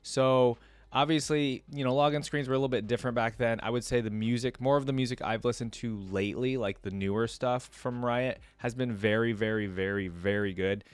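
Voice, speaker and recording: A man hosting a reaction video, thinking out loud in casual, informal and enthusiastic commentary. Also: mid-range voice, fairly even intonation, fairly quick, smooth, dry recording. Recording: music playing behind the voice. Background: game login music.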